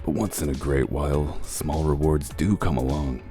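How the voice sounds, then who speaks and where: A man playing a gruff, dry wolf detective who explains things in a deliberate, self-assured way in a comic dub. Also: rumbling low, warm tone. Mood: wry, reflective. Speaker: detective character and first-person narrator. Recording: music under the voice. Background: background music.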